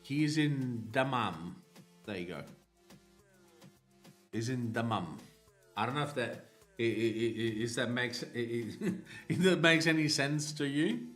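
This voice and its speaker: A man's voice: medium pitch, unhurried, long pauses, a bit bright. Casting: male streamer from Italy, rambling casual chat, stammers and laughs, faint music underneath.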